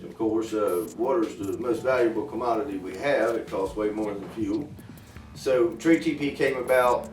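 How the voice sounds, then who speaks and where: An earnest middle-aged American man with a plain-spoken, folksy way of explaining things as an interview guest. He is emphatic and sits away from the mic in a roomy, echoing space. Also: on the low side. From Florida, USA. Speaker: interview guest, farm inventor. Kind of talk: radio interview, folksy storytelling. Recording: roomy echo, distant mic, faint music.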